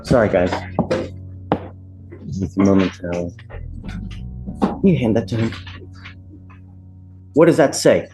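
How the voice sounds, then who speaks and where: A man's voice: lowish voice, animated, takes it slowly, pauses often, rough recording. A man with an American accent speaking as a judge in a courtroom, stern, blunt and colloquial as he addresses people from the bench. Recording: courtroom audio with background music.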